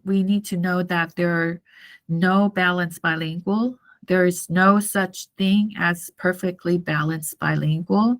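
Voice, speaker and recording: Slow, creaky-voiced woman lecturer, native Mandarin speaker. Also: lowish voice, a bit bright. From Taiwan. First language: Mandarin Chinese. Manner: halting, repetitive, checks understanding often. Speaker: university lecturer. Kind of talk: classroom lecture, explanatory.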